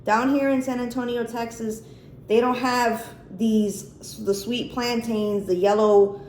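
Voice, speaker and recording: A woman's voice: fairly high pitch, fairly even intonation, slow pace, darkish tone. An American woman hosting a cooking vlog, chatting casually and informally to camera with plenty of swearing. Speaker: cooking and chat vlog host. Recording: echoey room, faint background noise.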